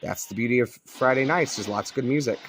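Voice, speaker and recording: A man's voice: mid-range voice, quick pace, over music, poor audio. Laid-back American man chatting casually. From Colorado, United States. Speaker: shortwave radio hobbyist and video host. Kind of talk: casual vlog commentary. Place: indoors at a home on a rural property. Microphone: cell phone.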